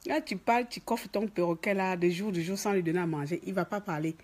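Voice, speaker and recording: A woman's voice: lowish voice, fast, darkish tone. A woman from Gabon with a Gabonese French accent, scolding and mocking in an emphatic political rant. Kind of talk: ranting political commentary to camera. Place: office.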